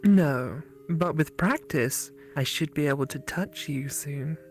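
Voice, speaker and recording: Woman voicing a slow, possessive spirit girlfriend. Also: sits low, faint music behind. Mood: quietly determined. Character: possessive female phone-dwelling spirit girlfriend, slow and mysterious.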